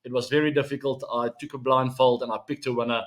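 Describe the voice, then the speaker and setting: A man's voice: mid-range voice, quick pace. A man in his forties with an Afrikaans-accented South African English voice, hosting a casual sports show and chatting to his viewers with lots of fillers. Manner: relaxed, chatty, many fillers, addresses fans as guys. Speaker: show host.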